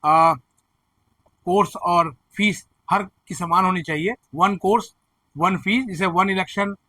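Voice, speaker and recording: A man with a hoarse throat presenting education news, explaining admission figures and earnestly reassuring students and parents. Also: high voice, slow pace, bright, forceful tone, dry recording. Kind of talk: direct-to-camera advisory commentary.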